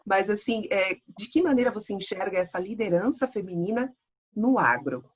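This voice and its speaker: A woman's voice: medium pitch, animated, normal speed. A Brazilian woman hosting an interview, with a chatty, enthusiastic way of asking questions. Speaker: host.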